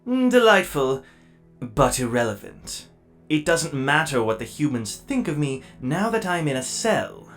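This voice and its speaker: A man voicing a haughty, scheming robot villain held prisoner, dismissive and sardonic, in an animated comic dub. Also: a little high, unhurried, soft background music, heavily acted.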